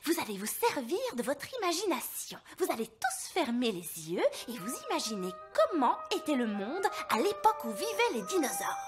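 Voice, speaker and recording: A woman's voice: sits high, big pitch swings, normal speed, few pauses. A woman playing a nervous elf mother and schoolteacher in a cartoon, theatrical, flustered and falsely cheerful. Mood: reassuring, teacherly. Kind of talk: animated cartoon voice acting, French dub. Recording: music under the voice.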